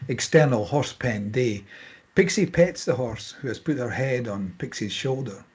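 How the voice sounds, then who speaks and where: A man's voice: mid-range voice, unhurried, breathy edge. A man reading out screenplay stage directions as scripted narration at a table read.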